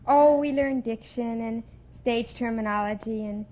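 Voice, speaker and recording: Teenage female student contestant, American, higher pitch; dull 1940s radio sound. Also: measured pace. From United States. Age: teens. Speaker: high school student contestant. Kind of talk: answering a radio quiz host's questions. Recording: muffled vintage radio recording, faint hiss.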